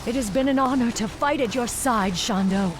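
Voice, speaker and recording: A woman's voice: on the high side, normal speed, music in the background, close to an everyday voice. An actress playing a stern, impatient elven warrior woman in a fantasy video game, speaking formally and with command. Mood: resolute, respectful. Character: stern, impatient night elf warrior woman hunting a demon. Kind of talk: dramatic video game cutscene acting.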